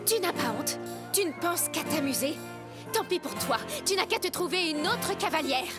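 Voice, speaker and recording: A woman voicing a spirited, feisty and outspoken young lady in an animated cartoon, with a brisk, animated delivery. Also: extremely high pitch. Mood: indignant. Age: young adult. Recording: loud music under the voice.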